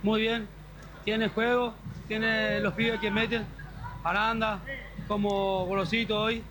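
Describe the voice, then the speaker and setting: A man, a football fan, giving a measured opinion on the team. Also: high-pitched, little pitch movement. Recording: outdoor noise, off-mic.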